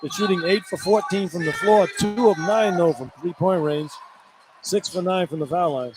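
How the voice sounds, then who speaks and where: Male basketball radio analyst, higher-pitched, folksy and colloquial, noisy arena broadcast. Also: normal speed. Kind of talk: informal basketball color commentary. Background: clear arena noise.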